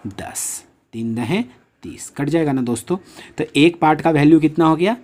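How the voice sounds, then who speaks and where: A man's voice: on the high side, normal speed, darkish tone. A male maths teacher leading an exam-prep lesson. He works through each problem aloud, asking the class questions and then answering them himself, and keeps turning to the viewers in a friendly way. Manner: tags lines with a friendly address to viewers.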